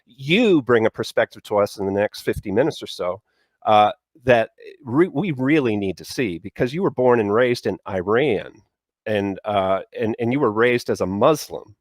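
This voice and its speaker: Low-voiced host with halting delivery. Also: male voice, animated, no echo, studio quality. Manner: rambling, stop-start phrasing with fillers. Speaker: talk show host. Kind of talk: casual talk show conversation.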